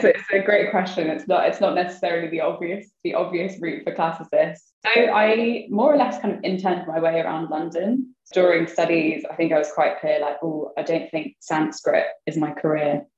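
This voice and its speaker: A woman's voice: fairly low pitch, normal speed, darkish tone. A young woman chatting casually as an interview guest, filling her talk with "like" and "kind of.". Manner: chatty, many fillers like "like" and "kind of". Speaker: guest, venture investor. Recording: roomy, slightly dull sound.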